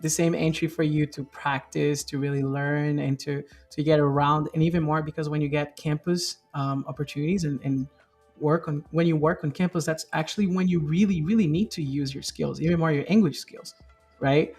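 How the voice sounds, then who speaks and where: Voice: mid-range voice, fairly even intonation, moderate pace, a little mellow, slightly rough, soft background music. An interviewer speaking casually, rambling a little with many repeated likes and restarts.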